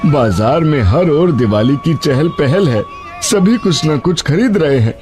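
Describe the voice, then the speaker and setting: An actor playing a poor, honest labourer and tender, sorrowful father in an animated moral story, speaking gently and earnestly. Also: on the high side, measured pace, soft background music. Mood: sorrowful, despairing.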